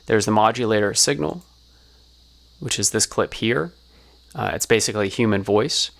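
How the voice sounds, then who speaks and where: A man's voice: lowish voice, moderate pace, vocal fry. A man giving an explanatory tutorial as a sound designer, talking through it step by step.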